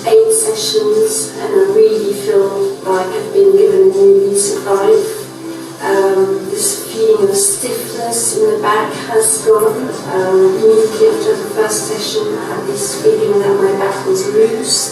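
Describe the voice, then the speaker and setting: A woman's voice: fairly high pitch, sing-song, a little slow, recorded from a distance. A woman speaking as a patient in a promotional video testimonial. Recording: echoey playback from afar, loud music.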